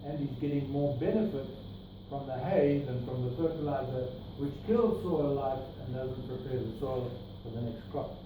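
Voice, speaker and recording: A man's voice: medium pitch, normal speed. A middle-aged man with a South African accent, presenting slides to a workshop group in a meeting room and pointing out details as he explains. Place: meeting room with projector screen. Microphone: far camera, no mic. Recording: distant echoey room with noise.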